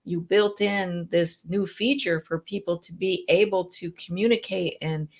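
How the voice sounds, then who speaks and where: Lower-voiced woman, warm and enthusiastic. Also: fairly even intonation, unhurried, vocal fry. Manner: enthusiastic, reflective, uses filler words. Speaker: co-host. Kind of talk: casual chat.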